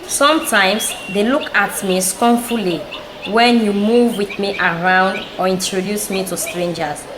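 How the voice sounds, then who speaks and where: An echoey, noisy audio drama recording carries a troubled, proud young chief's wife, played by a woman. She has a Sierra Leonean accent and speaks to her husband in formal, respectful, measured phrases. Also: medium pitch, lightly acted. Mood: aggrieved, dignified. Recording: echoey room with clear background noise.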